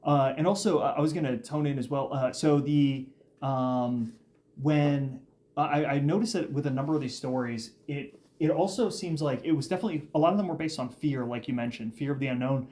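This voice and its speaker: A man co-hosting a casual podcast, talking excitedly and rambling with frequent restarts and fillers. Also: medium pitch, fairly quick. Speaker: podcast co-host. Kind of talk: casual chat.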